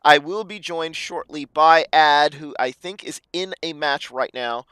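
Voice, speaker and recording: A man with a slightly raspy voice, previewing an esports match as commentator, halting with frequent fillers. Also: fairly high pitch, pushed and bright, dry recording.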